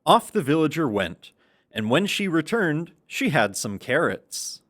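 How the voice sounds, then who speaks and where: A man's voice: on the high side, sing-song, a little slow, fairly bright tone. A man narrating a children's puppet show in a scripted storytelling style.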